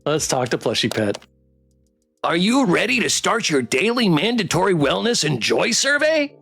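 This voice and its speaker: A man hosting a casual game livestream, reading text aloud and chatting with playful, expressive reactions. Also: high voice, moderate pace, gravelly, husky, soft background music. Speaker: game streamer and host.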